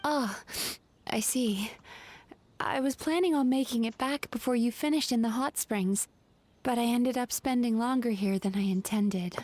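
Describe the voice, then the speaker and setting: An actress playing a gentle, composed young woman in a video game, speaking in a measured, polite way. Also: fairly high pitch, close to an everyday voice. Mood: subdued, sad. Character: gentle young female dream-eater therapist, composed, soft-hearted. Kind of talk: animated video game voice acting.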